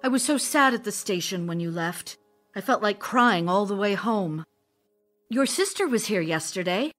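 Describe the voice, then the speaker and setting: A woman's voice: mid-range voice, expressive, moderate pace, a bit bright, faint music behind, natural voice. A woman playing an ardent, sentimental stage actress in an audio drama, earnest and wistful as she recalls her romance. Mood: longing, tender. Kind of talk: theatrical audio drama, narrated memories and love letters.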